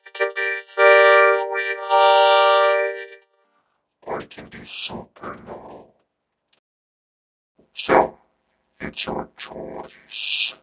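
Slow vocoder robot voice. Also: male voice, highs cut off, music in the background. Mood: robotic. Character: monotone robotic synthesizer voice. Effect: vocoder robot filter. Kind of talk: plugin demonstration. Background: synthesizer tones carrying the voice.

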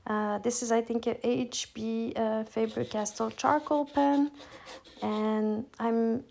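Woman, Quebec French accent, French first language, gravelly edge, somewhat high voice, relaxed, halting, casual tutorial voice-over. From Quebec, Canada. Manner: relaxed, hesitant, many fillers and restarts. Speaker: artist demonstrating her process.

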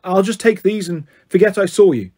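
Man playing a smooth, menacing British gatekeeper, pitched up. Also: a little fast, a little mellow. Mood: cold menace. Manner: smooth, condescending. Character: tall elegant sly, greedy male underworld gatekeeper, smooth and menacing.

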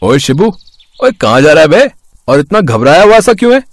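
A man's voice: on the high side, very animated, brisk, dry recording. A man voicing a teasing, mischievous village friend in a cartoon, brash and mocking.

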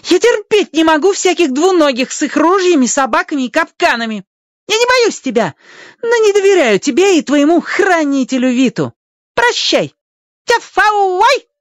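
Young male fox, very high voice, suspicious, proud, yelping, animated, radio storybook. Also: normal speed, no room sound, studio quality. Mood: hostile, defiant. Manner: punctuates speech with sharp yelps.

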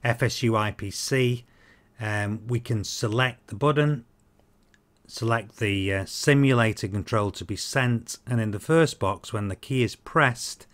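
A man in his fifties explaining software in an informal tutorial, hesitant and halting, with frequent ums. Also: fairly low pitch. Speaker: presenter of a flight simulator tutorial series.

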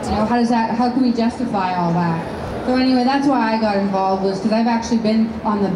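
A woman's voice: fairly high pitch, fairly quick. Chatty woman in her fifties, echoey hall. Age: 50s. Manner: rambling, many fillers and restarts. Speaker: panel speaker. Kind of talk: informal talk at an expo panel. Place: large dark hall with a stage and screen. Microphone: handheld mic. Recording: echoey hall with clear background noise.

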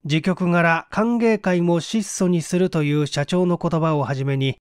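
A man narrating a mystery story as an audiobook reader, in a measured, dramatic storytelling manner. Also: a little high, clean tone, dry recording, clean studio sound. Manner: measured storytelling with acted dialogue.

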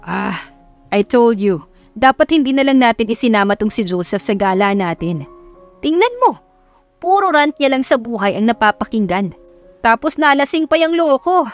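A woman's voice: a little high, lively intonation, moderate pace. A woman with a Filipino accent playing a joking, slangy young male drinking buddy in an audiobook story. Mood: complaining. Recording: background music under voice.

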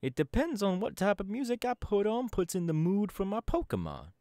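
A man's voice: high voice, huge pitch swings, brisk, dark tone, no echo, clean studio sound. A man voice acting a laid-back young male DJ gang boss in an ASMR audio roleplay, talking in an easygoing, chatty drawl. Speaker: voice actor.

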